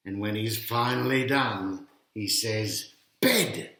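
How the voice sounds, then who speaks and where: Animated, unhurried male picture-book storyteller. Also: mid-range voice. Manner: addresses young listeners with questions and teasing reveals. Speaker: author reading his own picture book. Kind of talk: children's storytelling read-aloud. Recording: roomy, echoing sound.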